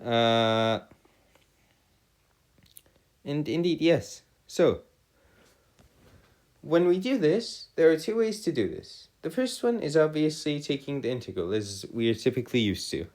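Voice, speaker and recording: A man tutoring a math and physics lesson in formal, old-fashioned wording, often halting and correcting himself. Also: a little high, big pitch swings, a little mellow.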